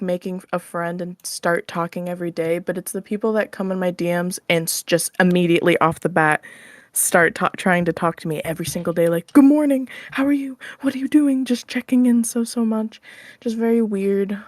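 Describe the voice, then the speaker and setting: A woman's voice: mid-range voice, a little fast, no echo. A young adult woman chatting casually as an interview guest, giggly and informal, often hedging what she says. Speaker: podcast guest, audio roleplay creator.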